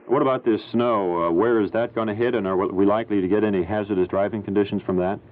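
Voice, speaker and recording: A man's voice: lowish voice, fairly even intonation, fast talker, narrow, tinny audio. A man working as a radio news anchor in a studio, heard on a 1970s AM broadcast recording. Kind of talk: news reading and live questioning.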